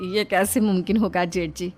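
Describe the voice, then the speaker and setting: A woman's voice: on the low side, steady tone, normal speed, darkish tone, a little hiss or hum, close to an everyday voice. A woman voicing a harried middle-aged village mother-in-law who complains and calls out orders in a children's moral story cartoon. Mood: flustered, complaining. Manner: exclamatory, calling out orders. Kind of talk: animated Hindi moral story dialogue.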